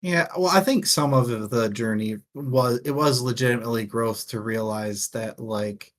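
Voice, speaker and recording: A man co-hosting a casual book discussion, thinking aloud in halting phrases and swearing offhandedly. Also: mid-range voice. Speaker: co-host of a book discussion show.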